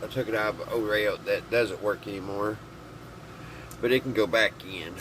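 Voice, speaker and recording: A man giving a casual hands-on demonstration to viewers, thinking aloud in a folksy, halting way. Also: on the low side, background noise. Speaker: hobbyist vlogger demonstrating vintage radio.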